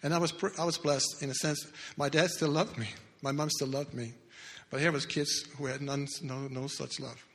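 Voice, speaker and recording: A man in his sixties, not a native English speaker, telling his life story earnestly as a testimony in church, with halting restarts. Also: mid-range voice, fairly even intonation, a bit bright. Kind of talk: personal testimony talk. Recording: echoey hall with long reverb.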